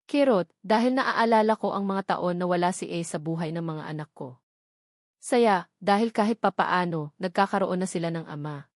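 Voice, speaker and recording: A woman's voice: medium pitch, a little mellow, no echo, studio quality. A woman reading a scripted first-person love story as a dramatic narrator.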